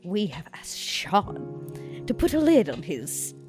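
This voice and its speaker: A woman's voice: on the low side, huge pitch swings, slow, a little mellow, soft background music. A woman playing a tabletop roleplaying game, speaking in character in a languid, arch, sardonic manner. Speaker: player.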